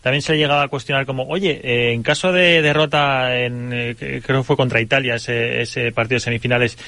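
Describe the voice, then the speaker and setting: A man, a sports journalist, giving a halting opinion on a radio panel. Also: mid-range voice, fairly even intonation, pushed and bright, no echo. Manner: halting, many fillers and restarts.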